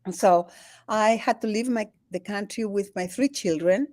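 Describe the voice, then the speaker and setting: An older woman from Chile speaks English with a Chilean Spanish accent, her native tongue being Spanish. She talks haltingly and laughs often, restarting her sentences. Her voice sits in a middle pitch and sounds close and dry. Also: a little mellow, no room sound. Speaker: guest, spiritual teacher. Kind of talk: conversational interview. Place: home in the Andes.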